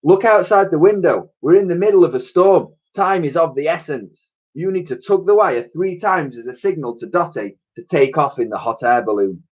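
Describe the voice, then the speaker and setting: Man putting on a bossy, high-pitched old professor. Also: expressive, moderate pace, highs cut off. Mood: urgent. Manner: scolding, urgent, commanding. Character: impatient, bossy old male professor, quick to rage.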